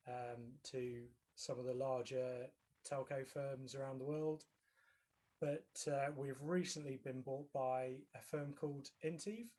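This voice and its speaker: A man giving an informal introduction as a presenter on an online video call, speaking haltingly in short pieces. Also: mid-range voice, a little mellow. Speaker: presenter introducing his company and colleague.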